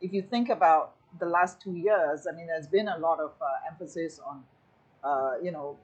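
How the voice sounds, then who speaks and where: Husky-voiced woman hosting a panel. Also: low voice, moderate pace, lo-fi sound. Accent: Singaporean English. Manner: probing, conversational, many fillers. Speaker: show host. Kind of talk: panel interview chat. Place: remote video call.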